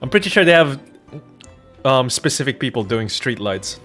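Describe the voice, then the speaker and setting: Man with lively, swinging pitch, casually commentating. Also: normal speed, faint music behind. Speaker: streamer playing and reading out a game.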